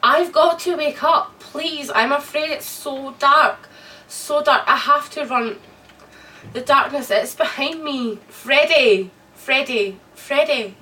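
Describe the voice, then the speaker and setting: A woman acts out a desperate, trapped woman in a radio play, afraid of the dark. Her voice is high and bright, pleading in repeated broken phrases, picked up at a distance from the mic. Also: slow pace, heavily acted. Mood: terrified, pleading. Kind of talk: radio drama acting.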